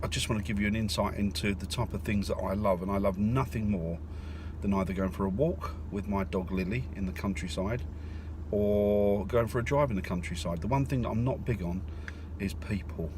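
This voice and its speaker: Man from North London, casual driving vlog, hesitant and low, clear car noise behind. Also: fairly quick. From North London, England. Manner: rambling, hesitant, with many fillers and restarts. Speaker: vlogger. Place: in a car in the countryside. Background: road and car noise.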